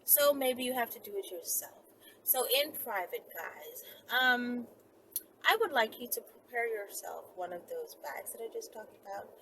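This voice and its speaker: High-voiced woman vlogger over noisy ambience. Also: lively intonation, measured pace, a bit bright. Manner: rambling, instructional, addressing viewers directly. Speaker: video channel host. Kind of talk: casual chat to viewers. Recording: clearly noisy, with background ambience.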